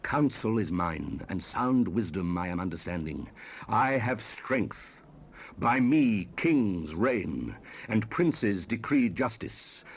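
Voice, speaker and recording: A man's voice: lowish voice, expressive, slow pace. A man voicing Wisdom as an ancient, righteous spirit, proclaiming emphatically in a dramatized Bible reading on a hissy old cassette. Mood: exalted, commanding. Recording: 1970s cassette rip with hiss.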